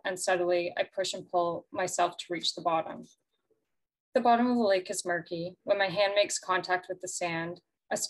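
Woman artist, measured reading, low-set voice, video call. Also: low-quality recording. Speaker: exhibiting artist. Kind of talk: reading a prepared artist statement.

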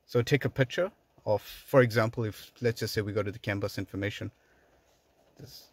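Male how-to host, lowish pitch, conversational and instructive, recorded in a car. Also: a little fast, no echo. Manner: explanatory, conversational. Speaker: video host giving a tutorial. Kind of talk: casual step-by-step how-to narration. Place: inside a parked car.

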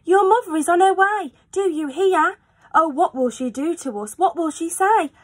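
Woman voicing an anxious, high-pitched scolding fish. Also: normal speed. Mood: panicked. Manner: urgent, exclaiming, repetitive. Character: anxious, scolding pet fish, fretful and alarmed.

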